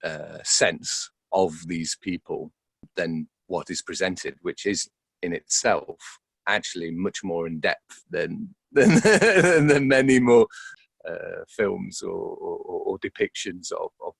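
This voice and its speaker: Deep, gravelly man, thinking aloud. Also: animated, slow, dry recording. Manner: hesitant, searching for words, repeating himself. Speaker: actor being interviewed. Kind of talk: reflective interview talk.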